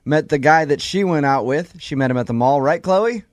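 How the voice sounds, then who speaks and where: A man's voice: on the high side, animated, moderate pace, dry recording. A man hosting a radio show, bantering and asking questions in a joking, teasing manner. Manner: teasing, jokey.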